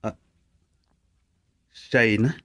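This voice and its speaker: A man's voice: extremely slow, bright, forceful tone. An actor playing a teasing young male friend who jokes and laughs in a radio drama. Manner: teasing, laughing, jumpy pitch.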